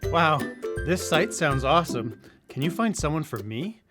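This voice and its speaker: A man's voice: on the high side, very animated, moderate pace, over music. A man playing an eager, polite ordinary guy in a live radio drama.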